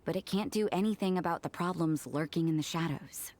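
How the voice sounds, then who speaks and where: A woman's voice: on the low side, fairly quick, darkish tone, natural voice. A woman playing a blunt, aloof young church sister in a video game, with a dry, unsentimental delivery. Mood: blunt, serious.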